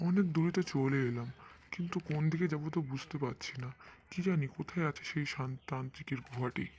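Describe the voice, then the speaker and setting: A man's voice: a little high, quick pace, darkish tone, a little hiss or hum, lightly acted. A man voicing an earnest, courteous, kindly king in a fairytale cartoon, with a pleading manner. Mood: worried. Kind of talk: cartoon voice acting.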